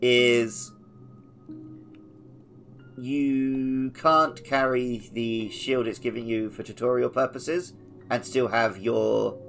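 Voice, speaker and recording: A man co-hosts a casual gaming podcast with a mid-pitched voice full of vocal fry. He offers opinions in a matter-of-fact, conversational way. Faint music runs underneath. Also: steady tone, slow, long pauses, fairly bright tone. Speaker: podcast co-host.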